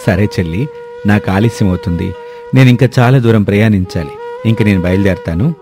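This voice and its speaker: A man's voice: lowish voice, a little fast, music in the background, lightly acted. A man playing a polite, generous wealthy businessman who speaks courteously and reassuringly in a story. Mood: grateful.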